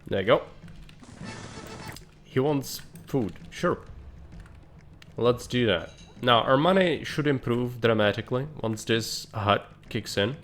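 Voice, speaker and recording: A man's voice: medium pitch, lively intonation, slow pace, pauses often, a bit bright, soft background music. A man streaming live, thinking aloud in dry, blunt remarks as he plans a city build. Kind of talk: live gameplay commentary.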